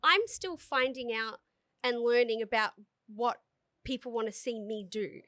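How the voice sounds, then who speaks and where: A woman's voice: fairly high pitch, a little slow, a bit bright, no echo, clean studio sound. A woman from Australia, a stage performer, chatting openly and swearing freely as a podcast guest. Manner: frank, swears freely, laughs often. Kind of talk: casual interview chat.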